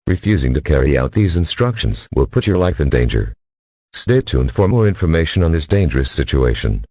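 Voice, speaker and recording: Very deep, husky male alert announcer. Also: very animated, a little fast, a little mellow, no room sound. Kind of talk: formal scripted safety instructions.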